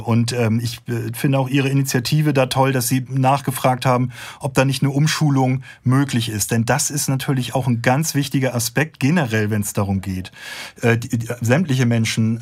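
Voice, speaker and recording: A man as an expert guest on a radio discussion, measured and hesitant, with many fillers. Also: medium pitch.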